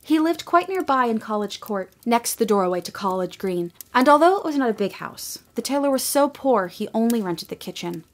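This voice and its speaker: A woman narrating a storybook tale for an audio drama in a measured, gentle way. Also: fairly high pitch, animated.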